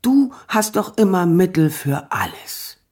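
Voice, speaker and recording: A resolute, wounded woman, looks back on a lover in a radio drama monologue. Her voice is notably husky and rough, and her telling is emphatic. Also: lowish voice, big pitch swings, a little slow, a little mellow, exaggerated voice. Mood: hurt, firm. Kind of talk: documentary radio play monologue.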